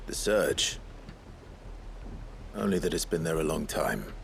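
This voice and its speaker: A man playing a grave, courteous outlaw leader in a video game cutscene, speaking in a measured, understated, polite way. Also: rumbling low, lively intonation, gravelly, slight background noise, exaggerated voice. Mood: wary, dry.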